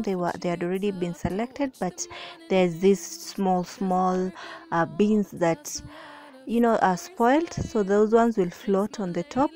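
A woman's voice: medium pitch, darkish tone. A young woman chatting casually as she narrates her cooking prep, with frequent fillers and restarts. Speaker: vlogger. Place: home kitchen.

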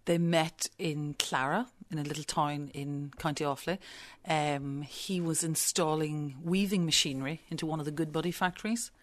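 A woman's voice: deep voice, fairly even intonation, normal speed, few pauses. A woman with a Belfast accent, talking conversationally about her memories as an interview guest. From Belfast, Northern Ireland. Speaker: interview guest, visual artist. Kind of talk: conversational reminiscence.

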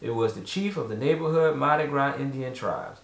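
A man's voice: mid-range voice, moderate pace, creaky. A man narrating a children's storybook as a father reading aloud at home, away from the microphone. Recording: echoey room, distant mic.

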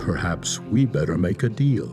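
A man voicing a sinister monster of a dark forest in a cartoon, offering bargains in a smooth, coaxing manner. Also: deep voice, expressive, moderate pace. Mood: coaxing, menacing. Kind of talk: cartoon voice acting. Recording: music behind the dialogue.

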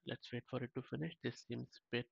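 A man's voice: mid-range voice, fairly even intonation, fast talker, creaky, slightly rough, no echo, muffled recording. A man hosting a tech tutorial, thinking aloud in a casual walkthrough with frequent restarts. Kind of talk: casual screen-share walkthrough.

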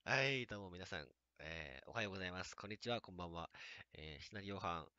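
A man hosts a casual radio show with very lively intonation. The sound is dry and dull, rather lo-fi. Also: low voice, fast, no room sound.

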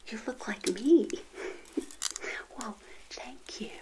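A middle-aged woman whispering gently and intimately in an ASMR roleplay as a friendly witch hostess, close to the mic. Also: a little high, background noise. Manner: gentle, unhurried, intimate personal attention. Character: friendly witch hosting a tea party. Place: small indoor set, seated. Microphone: close to the mic, facing the camera.

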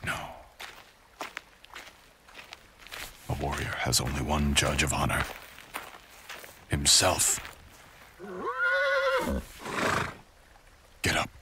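A man voices a dominant samurai ronin in an intimate roleplay audio, his voice cavernously deep. He speaks with cold menace and mockery, often dropping to a whisper. A faint hiss sits behind him. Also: level tone, normal speed. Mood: contemptuous. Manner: cold, threatening, mocking, partly whispered. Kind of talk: boyfriend ASMR roleplay.

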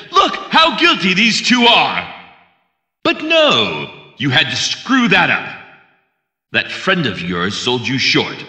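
Sharp, menacing male villain. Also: mid-range voice, heavily acted. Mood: bitter, exasperated. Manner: menacing, sarcastic, aggrieved. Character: cold, bitter male murderer confronting a young detective. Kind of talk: video game villain acting. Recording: roomy, slightly distant from mic.

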